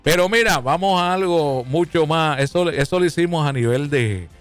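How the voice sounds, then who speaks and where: Brief: man, live radio host, a bit high-pitched, folksy banter, faint music behind. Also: normal speed. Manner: jokey, folksy, calls listeners "papá", swings pitch for emphasis.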